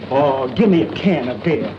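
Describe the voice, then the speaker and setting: A man's voice: mid-range voice, animated, normal speed, lightly acted. An actor playing a sly, wheedling male boarder with a folksy, needling manner in a 1940s radio drama. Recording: muffled, clearly noisy old radio recording.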